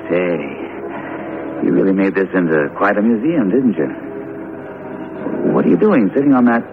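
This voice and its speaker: An actor playing a loyal, plain-spoken best friend in a 1970s radio drama, talking in a colloquial, chummy way. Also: male voice, sits low, expressive, moderate pace. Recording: narrow-band, faintly noisy old radio broadcast.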